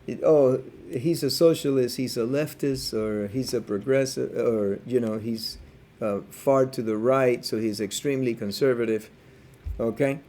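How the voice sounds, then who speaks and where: A man's voice: mid-range voice, a little slow, mellow. Earnest man from Cuba on a podcast. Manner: earnest, opinionated, thinks aloud with hedges. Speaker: podcast co-host. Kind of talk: casual podcast chat.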